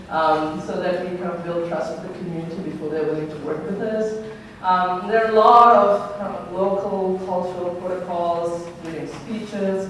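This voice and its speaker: A woman's voice: lowish voice, slight background noise. A woman from Sri Lanka, a robotics researcher giving an earnest conference talk with many ums and uhs. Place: lecture hall. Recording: echoey hall from far off.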